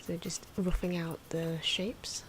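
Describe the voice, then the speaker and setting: A soft-spoken woman giving ASMR drawing commentary, often slipping into a breathy whisper, with hesitant, unhurried phrasing. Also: fairly low pitch, flat delivery. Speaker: ASMR video creator narrating own drawing. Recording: noisy, pencil scratching and ambient sound. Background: pencil and pen noises, outdoor wind.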